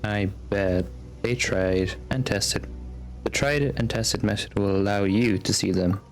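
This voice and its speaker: An actor playing a calm, thoughtful young male adventurer in a video game, musing and understated. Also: fairly low pitch, a little slow.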